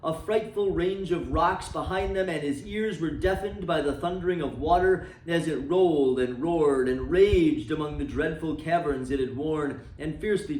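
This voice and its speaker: A man reading a classic novel aloud for a library, dramatic and expressive, letting his voice sink low and soft at the ends of phrases. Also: roomy sound, far from the mic, low-quality recording.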